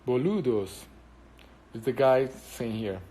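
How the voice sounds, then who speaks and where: A Brazilian man speaks English with a Brazilian Portuguese accent, Portuguese being his first language. He chats loosely through a live stream, answering viewers as thoughts come to him. He rambles and pauses often, filling gaps with plenty of hesitations. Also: medium pitch, lively intonation, warm tone, poor audio. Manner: rambling, hesitant with many fillers. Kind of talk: casual live-stream chat.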